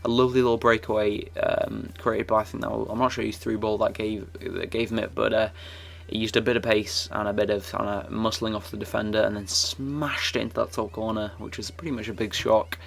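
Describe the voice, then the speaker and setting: Croaky young man, low flat voice. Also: brisk, hardly any pauses. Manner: rambling, many fillers and restarts. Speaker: gaming YouTuber. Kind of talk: casual game commentary.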